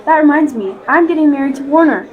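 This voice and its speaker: A woman's voice: high-pitched, lively intonation, quick pace, narrow, tinny audio, a faint crowd. A woman playing a blunt, dramatic young heroine in a student radio play. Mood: earnest.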